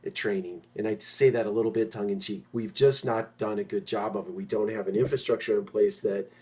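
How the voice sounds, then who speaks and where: A middle-aged man talking informally to his industry peers as an executive host, stressing points by repeating phrases and pausing mid-sentence. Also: fairly low pitch, steady tone, warm tone. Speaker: property management executive and host.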